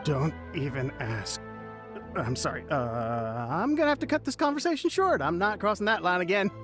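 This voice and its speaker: A man's voice: a little high, animated, close to an everyday voice. An actor playing a tipsy, rueful, nervous man who slurs, halts and trails off in a video game. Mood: uneasy warning. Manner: halting, mumbling, trailing off. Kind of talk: English video game voice acting. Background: background music.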